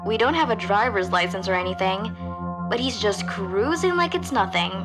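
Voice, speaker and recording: A young woman playing a nervous high school girl who tells her story in a casual, hesitant way, as a confessional audio drama monologue. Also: lively intonation, moderate pace, over music, natural voice. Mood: resigned, reluctant.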